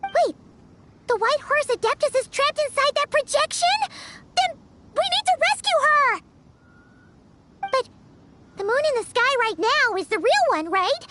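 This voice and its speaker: A woman voicing a tiny chirpy female companion creature who is excitable and talks about herself in the third person, in a video game. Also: unhurried, long pauses, noisy background. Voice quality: squeaky, childlike. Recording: game audio with music underneath.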